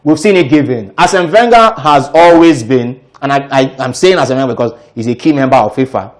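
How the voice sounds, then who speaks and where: A man's voice: mid-range voice, animated, a little fast. A man with a mid-range voice gives football analysis on a sports talk show. He explains his points firmly and assertively, walking through his reasoning step by step.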